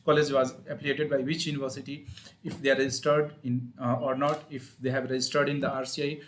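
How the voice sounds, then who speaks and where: A man with an Indian accent giving an informal live explanatory talk as a speech therapist, in a halting, repetitive, teacherly manner. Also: mid-range voice, flat delivery. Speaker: speech-language pathologist hosting an advice livestream.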